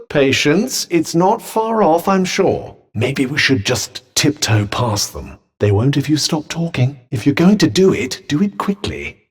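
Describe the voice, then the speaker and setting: An actor playing a haughty, well-spoken talking magic cuff in a video game, with a British accent and dry, sarcastic, formal delivery. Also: male voice, on the high side. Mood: dry, curious. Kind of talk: scripted video game companion dialogue.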